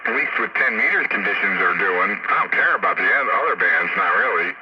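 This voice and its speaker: A man's voice: on the low side, lively intonation, fairly quick. An American man chatting casually as an amateur radio operator over a radio link. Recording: dull, very noisy radio transmission. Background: heavy radio noise.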